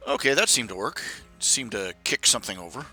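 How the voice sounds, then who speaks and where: A man in his forties chatting casually on a live stream, rambling and grumbling in a self-deprecating way while he fiddles with software. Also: medium pitch, expressive, brisk, breathy edge, faint music behind. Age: 40s.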